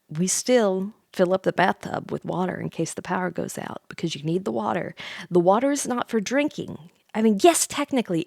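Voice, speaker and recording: A woman's voice: on the low side, vocal fry, no room sound, studio quality. A woman hosting a livestream, chatting casually with viewers in a playful, giggly, rambling, slightly tipsy way. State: tipsy from drinking wine. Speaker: livestream host, VTuber.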